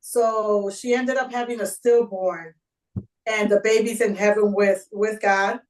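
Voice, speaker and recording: Middle-aged woman guest, slow and casual, heard from some distance. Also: medium pitch, fairly even intonation. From Puerto Rico. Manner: casual, informal speech. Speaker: guest, community organizer. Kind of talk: casual interview answer. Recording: voice away from the mic.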